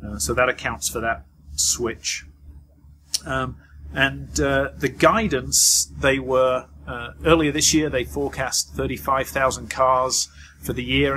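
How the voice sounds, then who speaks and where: A man's voice: medium pitch, fairly bright tone. A middle-aged man hosting a news vlog, talking through a roundup to camera from notes. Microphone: lapel mic. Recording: clearly noisy background ambience.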